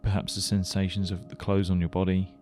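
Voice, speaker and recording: A man leading a guided meditation, giving gentle, unhurried instructions with pauses. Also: low voice, monotone. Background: music.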